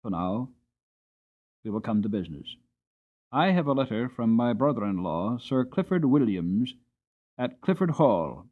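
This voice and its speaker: A man playing a formal, kindly Victorian gentleman. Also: medium pitch, very animated, unhurried, pauses often, phone-quality sound. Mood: kindly, businesslike. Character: grave but kindly Victorian gentleman, master of the house. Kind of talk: dramatic audiobook character reading.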